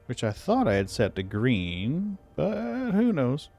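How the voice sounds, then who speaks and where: A man's voice: mid-range voice, takes it slowly, vocal fry, soft background music. A man streaming a game, chatting casually with a friend, talking to himself and humming idly. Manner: relaxed, talks to himself, hums idly. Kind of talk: casual chat while gaming.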